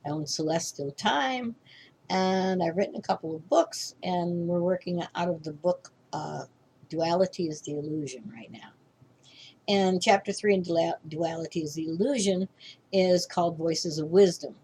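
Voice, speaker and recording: Low-voiced older woman teaching spirituality. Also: moderate pace, darkish tone, slight background noise. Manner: rambling, with frequent restarts and tag "okay?". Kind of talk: informal spiritual class talk.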